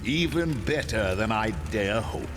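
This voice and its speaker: An actor playing an imperious, menacing supervillain mastermind in a video game, with a pompous, theatrical, commanding manner. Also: male voice, fairly low pitch, animated, measured pace, background noise. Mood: cold, grave. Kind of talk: video game cutscene acting.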